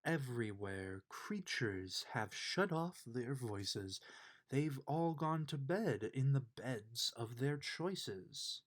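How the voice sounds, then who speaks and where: A man reading a children's bedtime story aloud in an expressive, unhurried way. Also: mid-range voice. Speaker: storybook reader.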